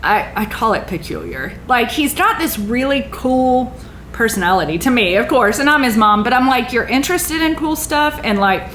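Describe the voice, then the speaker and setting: A woman's voice: fairly high pitch, lively intonation, moderate pace, a bit bright. A chatty, enthusiastic woman hosting a casual interview on a theater stage. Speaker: podcast host. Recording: roomy echo, faint background noise.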